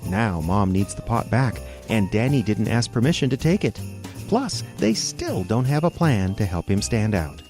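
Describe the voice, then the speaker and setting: A man's voice: on the low side, sing-song, normal speed, a little mellow, soft background music. A man narrating a scripted children's radio story, speaking straight to young listeners as a storyteller.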